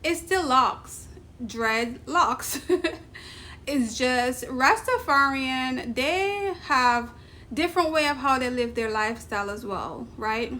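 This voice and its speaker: Bright-voiced Jamaican woman vlogging. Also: sits high, slow. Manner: chatty, laughs often, emphatic asides. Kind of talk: casual talk to camera.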